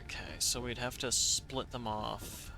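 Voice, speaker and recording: Male streamer, bright forceful tone, unhurried delivery, goofy self-talk over faint game music. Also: medium pitch, gravelly. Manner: thinks aloud, playful self-talk. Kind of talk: live gameplay commentary.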